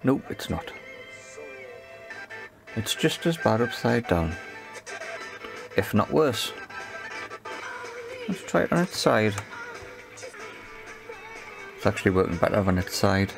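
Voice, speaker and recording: Guy with a lowish creaky voice talking thru a repair, kinda halting n matter of fact. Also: very animated, music in the background. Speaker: repair video presenter. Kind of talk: casual hands-on tech repair explanation.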